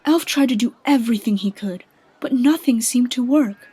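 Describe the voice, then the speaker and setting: A woman's voice: fairly high pitch, moderate pace, warm tone, slightly rough. A woman giving scripted narration as the storyteller of a radio drama.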